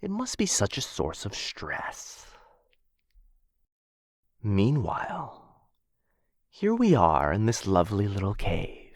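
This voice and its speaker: A man's voice: lowish voice, sing-song, takes it slowly, pauses often, dry recording, clean studio sound, exaggerated voice. A man playing a smug, menacing, possessive dragon captor in a fantasy ASMR roleplay, drawling condescendingly and theatrically. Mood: persuasive, musing.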